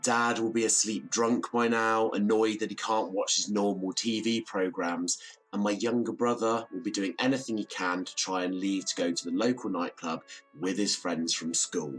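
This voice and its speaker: A man's voice: fairly low pitch, steady tone, moderate pace, faint music behind, close to an everyday voice, low-quality recording. A man playing a reserved, downbeat young British man, weary and bitter, in an audio drama. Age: young adult.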